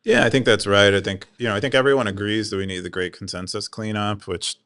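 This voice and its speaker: Deep, smooth-voiced man chatting on a podcast. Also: fairly even intonation, fast, a bit bright, no echo. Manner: explains tech terms plainly, loose and chatty with many fillers. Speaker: podcast co-host. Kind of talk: casual chat.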